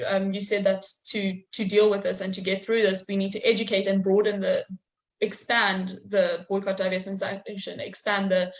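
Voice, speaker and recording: A young adult woman moderates an online discussion over a video call. She reads out audience questions hesitantly, filling pauses with ums and restarting her phrases. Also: mid-range voice, rough recording. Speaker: host and moderator. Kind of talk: webinar interview.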